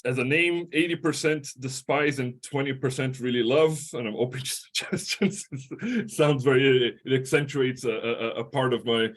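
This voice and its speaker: A middle-aged man with a Portuguese-speaking accent gives a lecture as a history professor. His delivery is halting, full of fillers, restarts and tag questions. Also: mid-range voice. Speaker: history professor giving a talk. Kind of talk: academic lecture.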